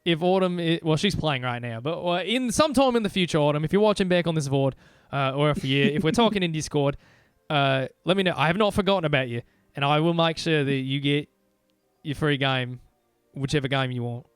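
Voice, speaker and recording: Casual game streamer, rambling and playful Australian put on by a man, smooth voice, dry close sound. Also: on the high side, animated, fairly quick, dry recording, faint music behind, lightly acted. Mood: rambling, apologetic. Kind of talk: casual livestream banter.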